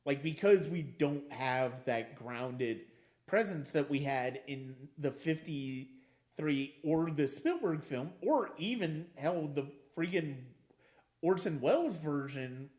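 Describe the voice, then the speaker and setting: A man casually discussing films as a podcast host, haltingly repeating and restarting his phrases for emphasis. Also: a little high, lively intonation, a little mellow.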